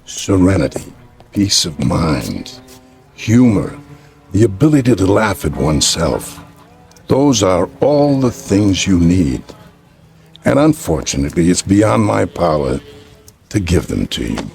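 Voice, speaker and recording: A man acting a gentle, cryptic old street peddler in a radio thriller, speaking in a measured, deliberate way. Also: sits low, very animated, vocal fry, faint music behind, heavily acted. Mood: regretful, firm. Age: older.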